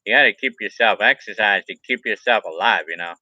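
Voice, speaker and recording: Tense bright-voiced man in his forties rambling. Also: on the low side, brisk, no room sound, dull audio. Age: 40s. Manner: rambling and repetitive, restarts phrases. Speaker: livestream vlogger. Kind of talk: casual live chat reading viewer comments.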